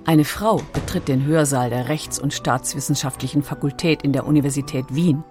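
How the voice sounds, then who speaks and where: A woman's voice: low voice, normal speed, music in the background. A woman reading scripted documentary narration as one of its narrators.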